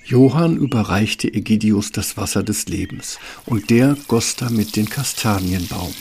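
Low, gravelly male fairy tale narrator. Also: a little slow, darkish tone, slight background noise. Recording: music underneath.

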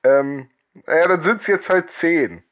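A man's voice: fairly high pitch, measured pace. A man guest podcasting, chatting in a jokey, hesitant way. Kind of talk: casual podcast chat.

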